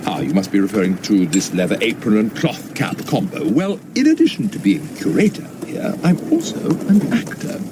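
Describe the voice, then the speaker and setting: An actor playing a pompous, self-important museum curator who speaks in a wordy, theatrical, over-enunciated way. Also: male voice, on the low side, wildly swooping pitch, normal speed, hardly any pauses, background noise. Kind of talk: sitcom acting.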